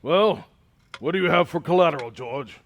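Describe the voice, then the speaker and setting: A man's voice: a little high, animated. A man playing a cruel, rich old miser in an amateur radio drama, mocking and condescending, with noise in the recording. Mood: suspicious, needling. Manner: slow, mocking, condescending, chuckling menace.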